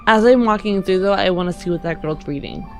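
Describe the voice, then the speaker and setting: A woman's voice: medium pitch, fast. A woman playing a tabletop roleplaying game, speaking casually as she describes her actions. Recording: music under the voice.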